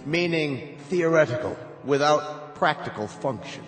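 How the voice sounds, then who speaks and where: An actor in a theatrical radio drama playing a cold, contemptuous tribunal official, deliberate and authoritative. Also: male voice, on the high side, lively intonation. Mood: stern, dismissive. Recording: echoey reverberant hall, music underneath.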